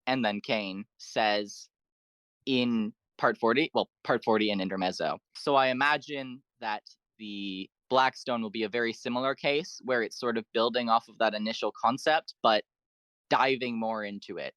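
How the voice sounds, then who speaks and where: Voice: medium pitch, lively intonation, moderate pace, a bit bright, dry recording, rough recording. Person explaining fan lore, dry close sound. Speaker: guest on a fan podcast. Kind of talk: enthusiastic fan lore discussion.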